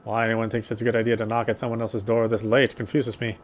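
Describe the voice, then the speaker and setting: A man reading a horror game story aloud, with expressive, lingering narration. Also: lowish voice, fast talker. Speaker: let's player reading a visual novel aloud.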